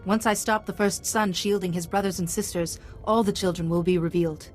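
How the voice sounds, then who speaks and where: An actress playing a calm, resolute Jedi heroine in a video game, speaking in a composed, firm manner. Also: female voice, on the low side, fairly quick, soft background music, natural voice. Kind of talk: video game cutscene acting.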